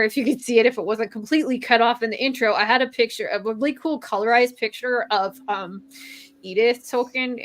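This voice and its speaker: A woman's voice: medium pitch, fairly bright tone, no echo. A woman hosting a book discussion, chatting informally and loosely as she retells and comments on a story.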